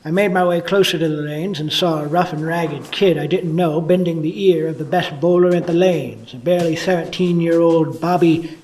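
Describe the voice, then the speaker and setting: A man's voice: fairly high pitch, steady tone, normal speed, darkish tone, distant mic, slight background noise, close to an everyday voice, poor audio. Hard-boiled male detective narrator acted by a man, cynical and wry, noir radio play, slightly off-mic. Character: cynical, world-weary 1950s police detective.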